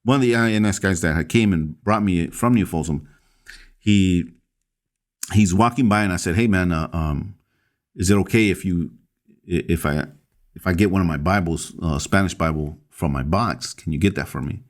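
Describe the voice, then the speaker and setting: A man with a very low, deep voice tells his own story as a podcast guest. He speaks haltingly, pausing on fillers and restarting phrases as he goes. He is a pastor, born in El Salvador.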